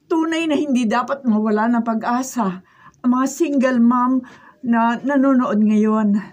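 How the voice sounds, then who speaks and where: A woman's voice: a little high, measured pace, darkish tone, natural voice. A woman playing a humble, loving single mother in a Filipino radio drama, earnest and emotional. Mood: grateful, moved. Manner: tearful, earnest, emotional delivery. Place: radio drama recording studio. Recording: faint noise, behind-the-scenes studio recording.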